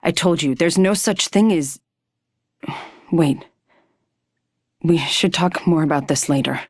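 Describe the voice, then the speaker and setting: Brooding haunted fighter, low voice, dry. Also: female voice, slow, dry recording, exaggerated voice. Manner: brooding, terse. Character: haunted, skeptical woman fighter.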